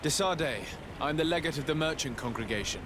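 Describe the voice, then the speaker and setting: A man playing a composed, diplomatic young noble legate in a video game, speaking formally and in measured, articulate phrases. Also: a little high, lightly acted. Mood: stern, probing. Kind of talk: video game cutscene acting. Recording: noisy outdoor ambience behind the voice.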